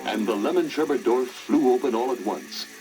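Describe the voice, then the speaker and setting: A man narrating a children's story in a dramatic, expressive, theatrical style. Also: medium pitch, normal speed, poor audio. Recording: old story record, orchestral music behind.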